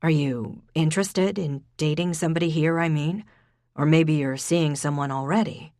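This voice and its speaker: Shy kind guy, read by a female narrator in a low, dry delivery. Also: normal speed, darkish tone, no echo. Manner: hesitant. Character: young man, hesitant and kind.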